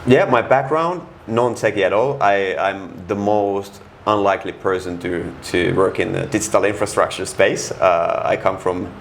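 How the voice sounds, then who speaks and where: A middle-aged man from Finland talks in a Finnish accent as a guest on an interview podcast. His voice sits very low and has a gravelly fry. He speaks frankly and haltingly, with many restarts. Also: a little hiss or hum. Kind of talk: casual interview.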